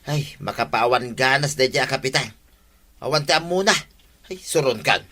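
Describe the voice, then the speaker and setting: Man playing a bright-voiced, grumbling village man. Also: medium pitch, normal speed, lightly acted. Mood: exasperated. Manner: complaining, exasperated. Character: grumbling, exasperated village man complaining about his captain. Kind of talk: comedy radio drama acting.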